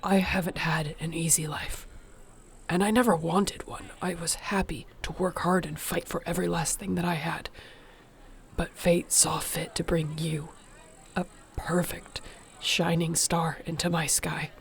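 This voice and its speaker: A woman playing a protective, devoted orc warrior girlfriend in an intimate audio roleplay, speaking softly, breathily and earnestly. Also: fairly low pitch, steady tone, measured pace, gravelly. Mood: tender, emotional.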